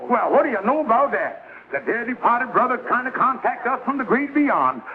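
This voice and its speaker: An actor playing a scheming lodge leader in a 1950s television sitcom, with a flowery, pompous, mock-solemn way of talking. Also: male voice, extremely high pitch, big pitch swings, brisk. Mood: mock solemn, flustered. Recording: scratchy, noisy, narrow-band vintage TV soundtrack.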